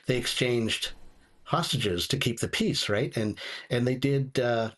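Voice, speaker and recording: Male guest reviewer, mid-pitched with a husky edge, conversational, stumbles and restarts as he searches for words. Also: fairly bright tone. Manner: halting, stammering, repeats words while searching for phrasing. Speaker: guest reviewer of audio dramas. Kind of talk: casual talk, reviewing.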